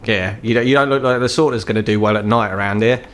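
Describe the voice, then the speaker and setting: A man's voice: lowish voice, fast talker, a bit bright, slight background noise, close to an everyday voice. Tabletop game troll fixer, acted by a man: male, ageing, British accent, blunt, bitter, world-weary, swears freely. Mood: blunt, conspiratorial. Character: embittered ageing crippled troll, gruff underworld fixer, male.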